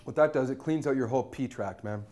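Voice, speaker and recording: A man's voice: mid-range voice, quick pace, a little mellow. A man in his thirties hosting a cooking show in a studio kitchen, cheeky and joking as he talks to camera. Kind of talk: jokey cooking demonstration.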